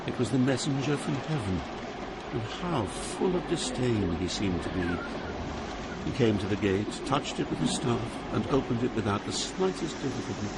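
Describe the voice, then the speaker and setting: An actor playing an awed poet who recounts his journey through Hell in measured, literary dramatic narration. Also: medium pitch, expressive, heavy background noise, natural voice. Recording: loud ambient sound effects behind narration.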